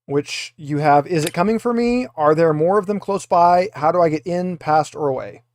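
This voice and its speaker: A man's voice: fairly high pitch, normal speed, darkish tone. A man acting as game master, narrating casually and with wry jokes to a group of players.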